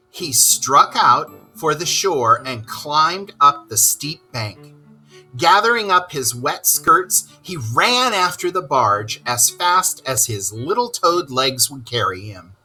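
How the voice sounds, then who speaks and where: A man reading a children's story aloud with expressive, dramatic, deliberate narration. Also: on the high side, soft background music, low-quality recording.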